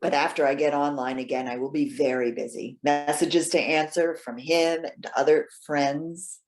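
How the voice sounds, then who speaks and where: A woman playing a lonely, proud elderly lady in a stage reading, halting and questioning with wounded politeness. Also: deep voice, steady tone, a little mellow, faint music behind, lightly acted. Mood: politely cool. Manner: halting, stammering, emphatic questioning. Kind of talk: readers theater stage acting.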